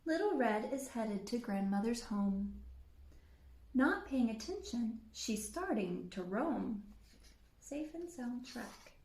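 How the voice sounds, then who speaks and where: A woman's voice: a little high, very animated, slow pace, darkish tone, echoey room. A woman hosting storytime, reading a rhyming children's story aloud. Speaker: storytime host.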